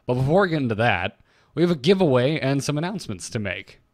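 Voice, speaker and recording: A man's voice: mid-range voice, sing-song, fast, no echo, clean studio sound. A man co-hosting a podcast in an informal, casual chat style, with the odd mild swear. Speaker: podcast co-host.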